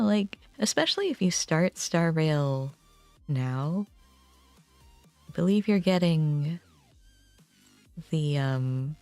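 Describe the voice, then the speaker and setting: A woman livestreaming a game, chatting casually and thinking aloud in a halting way. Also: low voice, darkish tone, no room sound. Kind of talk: casual chat while playing a game. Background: game music.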